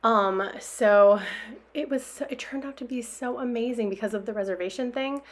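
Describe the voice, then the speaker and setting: A young woman with a hoarse voice, chatting casually over her own planner video, rambling with lots of fillers and small laughs. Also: a little high, normal speed. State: extra hoarse after a workout. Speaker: planner vlogger.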